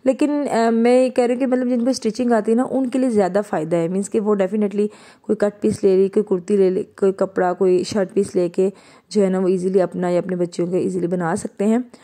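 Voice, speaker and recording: A woman's voice: medium pitch, fairly even intonation, brisk, mellow. A young woman from Pakistan, chatting casually as she narrates her own vlog. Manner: chatty, conversational, laughs while talking. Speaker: vlogger.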